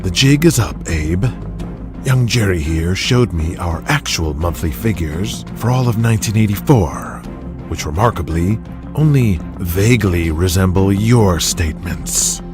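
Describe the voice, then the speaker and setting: A man voicing an arrogant, sneering company boss in an audio drama, mocking and deliberate with menace. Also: low voice, expressive, music in the background. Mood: cold, smug.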